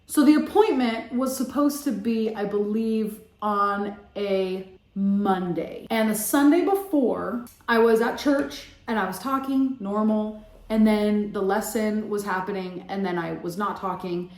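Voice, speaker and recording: A woman's voice: mid-range voice, a little slow. A young adult woman telling a personal story in a casual, chatty vlog with lots of fillers. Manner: chatty, animated, many fillers. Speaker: vlogger. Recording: echoey room.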